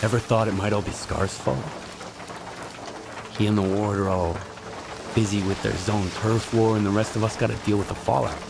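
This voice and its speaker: An actor playing a weary, cynical ex-marine with a dry, terse manner in a game cutscene. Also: male voice, fairly low pitch, level tone, normal speed, gravelly, noisy background. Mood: accusing. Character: weary, cynical male ex-marine stalker in a wasteland. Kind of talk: dramatic video game cutscene acting.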